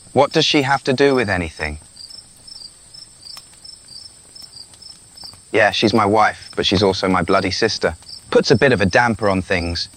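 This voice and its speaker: Guy acting a young british prince, lowish voice, sardonic and restless, talks close and personal, faint crickets behind. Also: a bit bright, slight background noise. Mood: dismissive, wry. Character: restless, rebellious young prince, pleading and bitter. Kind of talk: scripted audio roleplay addressed to the listener.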